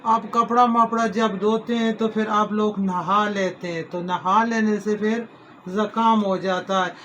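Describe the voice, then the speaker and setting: A man's voice: sits high, steady tone, measured pace, sharp tone, dull audio, a little hiss or hum. A middle-aged man, hosting a home cooking video in a folksy, rambling way, full of homely advice and blessings. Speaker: cooking channel host. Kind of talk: casual home cooking vlog.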